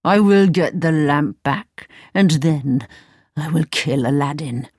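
A woman voicing a scheming old male wizard in a storybook, speaking slowly and gloating. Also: lively intonation, a little mellow, husky, dry recording, clean studio sound, heavily acted. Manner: slow, low, gloating.

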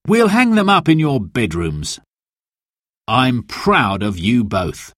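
A man doing a gentle, proud father. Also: medium pitch, sing-song, takes it slowly, no echo, studio quality, full character voice.

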